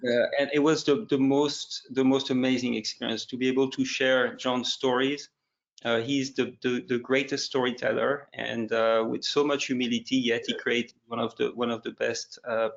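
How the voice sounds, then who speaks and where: A man moderating a webinar panel, praising a guest effusively while halting and repeating himself. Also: mid-range voice, creaky, dull audio. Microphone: video call.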